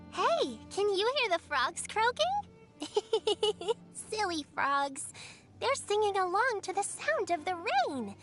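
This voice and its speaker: A woman's voice: very high voice, a bit bright, exaggerated voice. A woman voicing a sweet, caring little girl in an anime video game, polite and earnest with childlike wonder. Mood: curious, playful.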